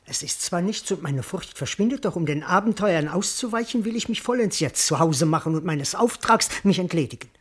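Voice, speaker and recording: An actor playing a cowardly, boastful, cheeky servant in a classical verse radio play, declaiming in a wordy, comically self-important way. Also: male voice, sits high, animated, fairly quick, few pauses, slightly rough. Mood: frightened, wary.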